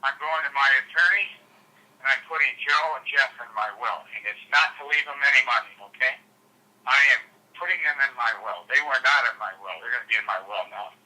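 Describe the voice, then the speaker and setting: An agitated middle-aged American man speaks over a tinny phone line. His voice sits at a medium pitch and is very sharp and forceful. He vents insistently, with profanity, as in a heated private call. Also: brisk. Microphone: telephone.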